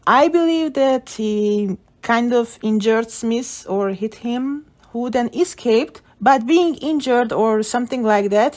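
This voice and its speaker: A woman's voice: fairly high pitch, a little mellow. A woman video creator giving casual, opinionated commentary, thinking through her theories as she goes. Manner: speculative, opinionated. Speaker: video creator analysing game story.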